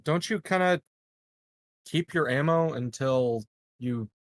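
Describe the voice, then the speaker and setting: A man's voice: a little high, slow, no echo, clean studio sound. A man playing a tabletop roleplaying game, talking casually about the rules. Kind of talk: casual questioning game talk.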